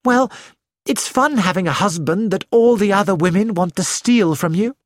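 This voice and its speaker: A woman's voice: medium pitch, normal speed, no echo, clean studio sound, lightly acted. A woman reading the part of a cheerful, frank young actress who speaks breezily and bluntly. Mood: breezy. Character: flighty, self-assured young actress wife.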